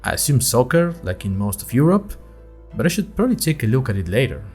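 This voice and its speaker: A man's voice: mid-range voice, big pitch swings, quick pace, darkish tone, husky, faint music behind. A man playing a chatty, nervous young college student who narrates his story in a wry, conversational way with dramatic emphasis. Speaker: narrator of an audio fiction podcast. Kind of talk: scripted first-person storytelling, diary-like.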